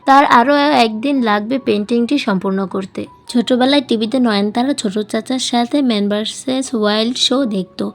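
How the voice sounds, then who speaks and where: A woman reading a novel aloud as a scripted audiobook narration. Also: fairly high pitch. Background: background music.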